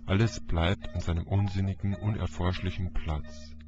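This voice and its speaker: A man narrating a literary audiobook in a dramatic, deliberate, declamatory manner. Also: low voice, fairly even intonation. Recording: dull lo-fi audio, music behind. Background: music.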